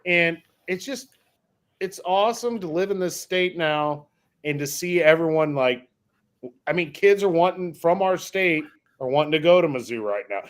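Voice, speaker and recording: A man in his forties co-hosting a sports podcast, talking like an excited fan with casual slang. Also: fairly high pitch, normal speed. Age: 40s. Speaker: podcast co-host, coach.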